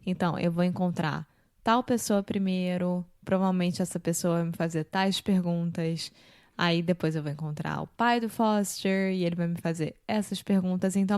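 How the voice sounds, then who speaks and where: Carioca woman with a low, dry voice. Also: moderate pace, smooth, no echo. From Brazil. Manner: relaxed and explanatory, talks things through. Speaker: podcast co-host. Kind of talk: casual conversation.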